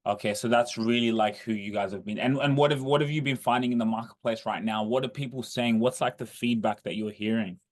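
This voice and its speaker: A man's voice: medium pitch, fairly even intonation, brisk, few pauses. A man hosting a podcast, asking long, rambling questions with encouraging restarts. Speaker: podcast host. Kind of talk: casual podcast interview.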